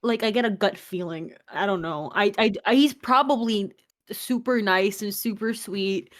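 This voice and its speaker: A woman's voice: mid-range voice, moderate pace. A woman co-commentating in an informal, casual chat. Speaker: guest co-commentator.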